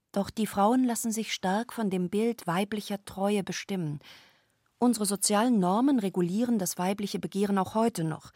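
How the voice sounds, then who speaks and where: A woman speaks as an expert in a measured, explanatory tone. Her voice sits at a medium pitch and is recorded close and very dry. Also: darkish tone, dry recording. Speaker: therapist commenting as an expert.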